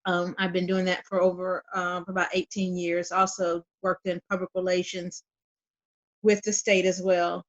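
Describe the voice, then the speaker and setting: Measured woman on a dull video call. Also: on the low side, little pitch movement. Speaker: child welfare planner, panelist. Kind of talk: online forum introduction.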